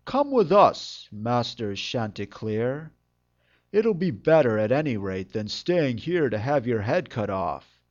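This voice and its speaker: A man voicing a friendly, encouraging donkey with a hearty, expressive manner in a fairytale. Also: mid-range voice, slow pace, dull audio. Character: friendly, encouraging male donkey, leader of animals.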